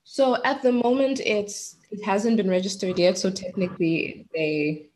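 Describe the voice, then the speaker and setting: A woman's voice: mid-range voice, lively intonation, normal speed, darkish tone, poor audio. A woman in her twenties from Zimbabwe, a PhD researcher speaking as an interview guest in a reflective, articulate way. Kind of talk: interview over a call.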